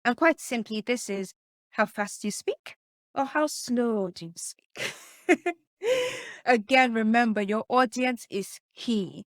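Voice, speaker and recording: A woman with a soft voice, teaching communication skills as a coach in an emphatic way, often putting questions to the listener. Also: a little high, takes it slowly, dry recording, studio quality. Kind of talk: teaching presentation tips to camera.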